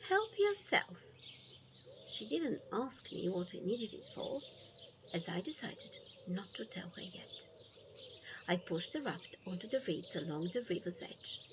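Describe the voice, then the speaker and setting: Unhurried woman reading a children's story. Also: medium pitch, background noise. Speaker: storybook reader. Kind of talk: read-aloud children's storytime narration.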